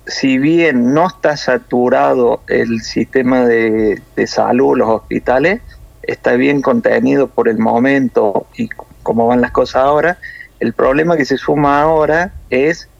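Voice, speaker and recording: Gravelly Cordoban man on a muffled line. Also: medium pitch, no echo. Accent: Cordoban Argentine Spanish. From Córdoba, Argentina. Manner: measured, explanatory, many hesitations. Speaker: nurse interviewed by phone. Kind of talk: phone interview.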